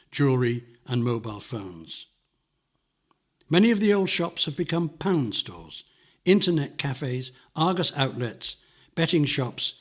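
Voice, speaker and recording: Older male narrator, husky voice at middling pitch, measured nostalgic memoir read. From London, England.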